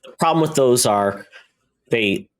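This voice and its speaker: A man's voice: mid-range voice, lively intonation, measured pace. A man co-hosting a podcast in a casual, opinionated, conversational way. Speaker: podcast co-host. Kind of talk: casual discussion of comics and media.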